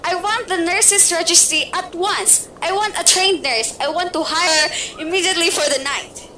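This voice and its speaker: A frightened, demanding bedridden woman in a radio play, acted by a young woman. Her voice sits very high and bright, fretful and imperious, with a faint hiss behind it. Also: moderate pace. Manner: fretful, imperious, rising to panic. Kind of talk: amateur radio play acting.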